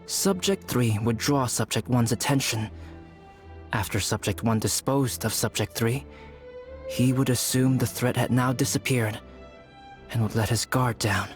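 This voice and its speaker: An actor playing a calm, pensive young alchemist in a game, speaking in a grave, deliberate, storytelling way over music. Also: male voice, mid-range voice, natural voice. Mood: measured, ominous. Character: calm, pensive young male alchemist telling a dark tale. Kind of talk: measured game dialogue storytelling. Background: background music.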